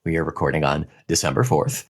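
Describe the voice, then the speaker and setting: A man co-hosting a casual podcast, relaxed and agreeable, chatting with his co-host. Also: rumbling low, quick pace, breathy edge. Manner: relaxed, agreeable, lots of yeahs. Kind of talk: casual chat, reading a listener email aloud.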